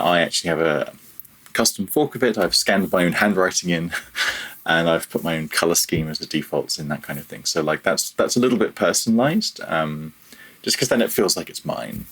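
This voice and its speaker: Low husky guy guesting on a podcast, chatting through his work, kinda rambly. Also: fairly bright tone. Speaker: podcast guest, audio programmer. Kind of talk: casual interview chat.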